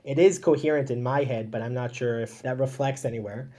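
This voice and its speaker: A man's voice: medium pitch, brisk, warm tone. A man, a startup founder chatting casually and self-deprecatingly as a podcast guest. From Israel. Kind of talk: casual interview conversation.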